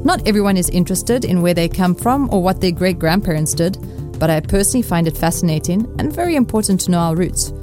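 A woman's voice: fairly low pitch, lively intonation, fast, music in the background. A woman hosting a radio program, presenting scripted introductions and interviewing guests. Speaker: radio host.

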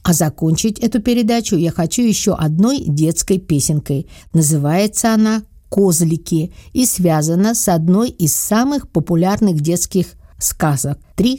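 A woman hosting a radio program, announcing the next item from a script in a measured way. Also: on the low side, expressive, dark tone, no room sound, clean studio sound. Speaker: radio host.

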